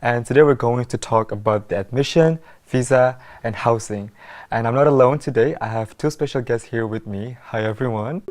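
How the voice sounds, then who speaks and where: A man's voice: mid-range voice, moderate pace, darkish tone, no echo. A young man from Indonesia with an Indonesian accent, hosting a student talk show in an informal, word-repeating way. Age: young adult. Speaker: student host.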